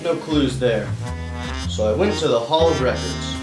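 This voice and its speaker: A hard-boiled, money-minded detective, played by a man in a radio drama. He talks in slangy, wisecracking tough-guy lines at a middle pitch. The sound is roomy and set back from the mic, with music underneath. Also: moderate pace, far from the mic, natural voice. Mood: wry narrating. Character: hard-boiled, cynical male private investigator, money-minded. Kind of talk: noir radio-play narration and dialogue.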